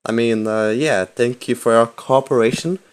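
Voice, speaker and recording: A man trolling strangers in game voice chat, deadpan and mock-indignant, talking into a headset mic. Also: medium pitch, moderate pace, a little hiss or hum. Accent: put-on Russian. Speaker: prank-style player in voice chat.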